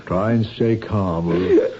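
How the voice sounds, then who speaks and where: An actor playing a concerned, protective husband with an earnest, measured delivery in an old-time radio drama. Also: male voice, lowish voice. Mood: grave, coaxing. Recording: muffled old radio recording, faint noise.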